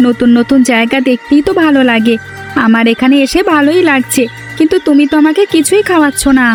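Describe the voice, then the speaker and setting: A woman's voice: sits high, quick pace, soft background music, lightly acted. A woman voicing a frightened, pleading little village boy in a cartoon. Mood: childlike grumbling. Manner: plaintive, pleading. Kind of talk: cartoon dub acting.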